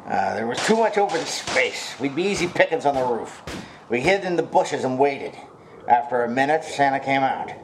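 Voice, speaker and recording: A man's voice: mid-range voice, lively intonation, moderate pace, noisy background, close to an everyday voice. A man playing a tough, wary, wisecracking gang boss in a hard-boiled noir radio comedy, speaking tersely. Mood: grim narrating.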